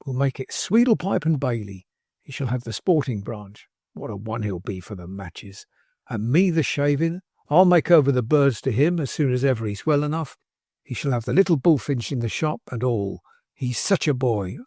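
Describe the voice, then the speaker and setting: A man voicing an excitable little barber who talks fast and high, half laughing and half crying. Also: breathy edge, no room sound, clean studio sound. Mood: excited.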